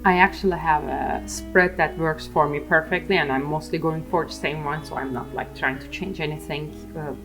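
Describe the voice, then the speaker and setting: Low-voiced young woman w/ turkish accent, rambling chatty voice-over, soft music underneath. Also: fairly even intonation, moderate pace, few pauses. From Turkey. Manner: chatty and self-mocking, many "like" fillers. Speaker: art vlogger. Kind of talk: casual voice-over chat while drawing.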